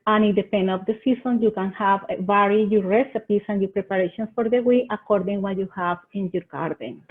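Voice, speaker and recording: A woman's voice: medium pitch, brisk. A woman from Colombia with a Colombian Spanish accent, giving earnest home-living tips in a webinar presentation. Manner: earnest, gives advice in short phrases with some hesitations. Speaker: extension agent presenter. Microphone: video call. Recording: tinny phone-like video call audio.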